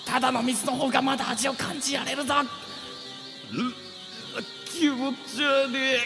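Man acting a high-pitched, panicky young guy. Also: flat delivery, creaky, heavily acted. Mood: disgusted. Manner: exclaiming, quick to object. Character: panicky, protesting young man, the straight man of his friends. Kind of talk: comedy radio drama acting. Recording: background music under the voice.

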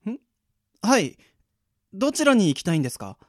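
Man acting an earnest, higher-voiced young secret agent. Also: big pitch swings, normal speed. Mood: helpful. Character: earnest young male secret agent, newly trained, defiant. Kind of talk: amateur radio drama acting with inner monologue.

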